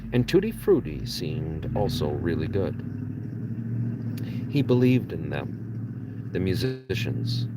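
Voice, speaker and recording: A man's voice: low-pitched, a little mellow, a little hiss or hum. A man, an author reading his own novel aloud as an audiobook narration, with casual asides to listeners.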